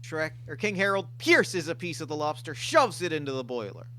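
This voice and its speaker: A man's voice: a little high, big pitch swings, brisk, bright, forceful tone, slight background noise. A man narrating scripted stage directions at a comedic table read.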